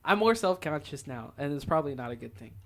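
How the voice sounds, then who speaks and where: A man's voice: fairly high pitch, lively intonation, fast talker. A young man co-hosting a podcast, chatting casually and telling personal stories with frequent laughter. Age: young adult. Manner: giggly, often laughs while talking. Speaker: podcast co-host. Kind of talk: casual chat, personal storytelling.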